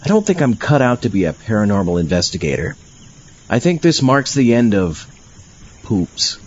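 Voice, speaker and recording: A man playing a deadpan, bumbling paranormal investigator and podcast host in a comedy audio drama, on a lo-fi recording. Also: on the low side, moderate pace. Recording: dull lo-fi, faint music.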